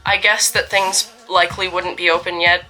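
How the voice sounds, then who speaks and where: A woman's voice: on the low side, little pitch movement, normal speed, pushed and bright, soft background music, rough recording. A young woman from Canada telling a casual story-time vlog in a chatty, wry, self-deprecating way while getting over a cold.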